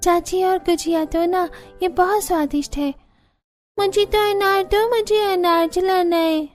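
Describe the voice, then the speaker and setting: A woman voicing a small, excited child in a Hindi cartoon story. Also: very high-pitched, a little slow. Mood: eager.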